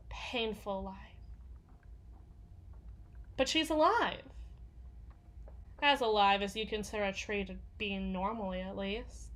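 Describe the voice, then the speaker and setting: A woman playing a jaded, foul-mouthed bodyguard confiding in a partner in an intimate ASMR roleplay, halting and often sinking into whispers. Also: medium pitch, fairly bright tone. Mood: bitter.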